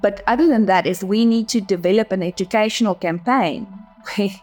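A woman's voice: mid-range voice, normal speed, a little mellow, faint music behind. A woman from Namibia with an Afrikaans accent, talking earnestly in an interview as an agency director, often repeating words as she thinks. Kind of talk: interview conversation.

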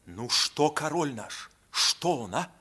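A man's voice: on the high side, very animated, takes it slowly, bright, forceful tone, full character voice. A man playing a fawning, anxious royal courtier in a theatrical radio play.

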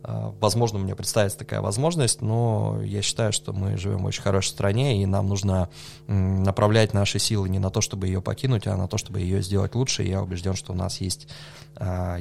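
Low gravelly-voiced man, hesitant. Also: flat delivery, fast talker, slight background noise. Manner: thoughtful, hesitant with many fillers, laughs softly. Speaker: podcast guest, coffee judge. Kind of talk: relaxed conversational interview.

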